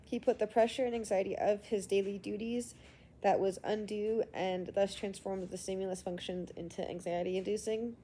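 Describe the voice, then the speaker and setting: A woman's voice: medium pitch, fairly even intonation, moderate pace, mellow, a little hiss or hum, low-quality recording. A sick woman with a congested voice, recording her class assignment as a student and reading prepared answers with frequent hesitations.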